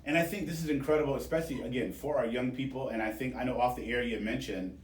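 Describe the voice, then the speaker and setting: A man's voice: mid-range voice, fast talker, a little hiss or hum. A man hosting a casual radio interview, talking informally to listeners and repeating points for emphasis. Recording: roomy, echoey sound.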